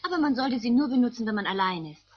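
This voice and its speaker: A woman's voice: on the high side, lively intonation, fast talker, slightly rough, dull audio. A woman playing a friendly, frank mother in a scripted German-language audio play. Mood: explaining. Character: friendly, frank mother explaining things to children. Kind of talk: scripted radio play dialogue.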